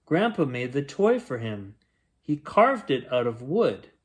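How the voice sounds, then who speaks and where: Slow, expressive man reading a bedtime story. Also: medium pitch. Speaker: children's bedtime story reader. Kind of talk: read-aloud rhyming picture book storytelling.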